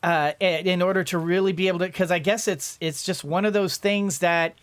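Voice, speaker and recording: A woman's voice: fairly low pitch, steady tone, a little fast. A middle-aged woman giving casual gameplay commentary, rambling, talking to herself and exclaiming playfully. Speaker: let's-play host.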